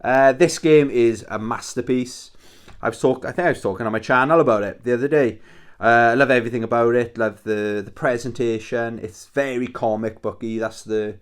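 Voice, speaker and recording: A Welsh man hosting his own channel, chatting casually and enthusiastically about his games, swearing freely and restarting his sentences. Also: fairly low pitch. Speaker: YouTube channel host. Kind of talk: casual rambling video chat about his game collection.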